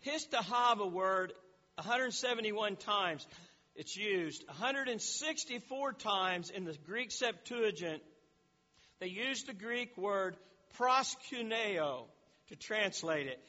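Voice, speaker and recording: High, bright-voiced man preaching. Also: in a large hall, highs cut off. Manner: explanatory, frequent repeated words and restarts. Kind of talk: sermon teaching.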